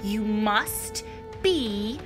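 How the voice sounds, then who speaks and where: A woman's voice: mid-range voice, lively intonation, drawn-out words, music in the background. A woman playing a zealous, severe nun of a murderous sisterhood in an audio drama, stern and formal. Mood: pious, menacing.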